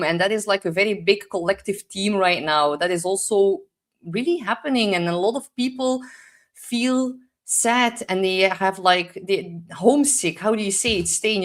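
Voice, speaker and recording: A young woman with a Dutch accent gives a rambling, casual astrology forecast, full of "like" fillers, sometimes searching for English words. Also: mid-range voice. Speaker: astrologer host.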